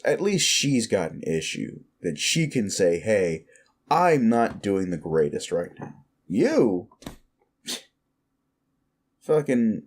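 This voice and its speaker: A man's voice: lowish voice, a little mellow, heavily acted. A man playing a smug, sleazy werewolf boss in an audio roleplay, talking down to the listener in a mocking, patronizing drawl. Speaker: office boss.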